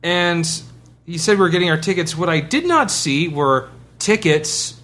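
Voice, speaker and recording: A man co-hosting a podcast, telling a casual anecdote and acting out the dialogue in an animated way. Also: fairly high pitch, moderate pace. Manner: animated, acts out quoted dialogue. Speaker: podcast co-host.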